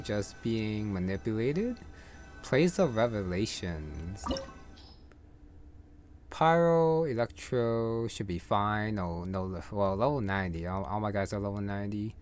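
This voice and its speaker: Casting: male gaming streamer, low voice, casual rambling commentary, thinks aloud, clear game music behind. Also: expressive, measured pace.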